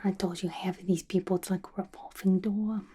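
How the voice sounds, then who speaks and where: A woman's voice: medium pitch, level tone, fairly quick, dark tone, husky, close to an everyday voice. A woman playing a playful, unhinged, gossipy female villain inmate in a chatty, conspiratorial whispered ASMR roleplay. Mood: dreamy, chatty.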